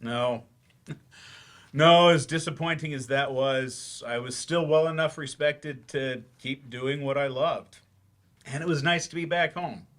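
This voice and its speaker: A man playing a weary, regretful novelist turned teacher in a staged play reading, halting, self-deprecating and confessional. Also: mid-range voice, fairly bright tone, natural voice. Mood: bitter, resigned. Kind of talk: staged dramatic monologue.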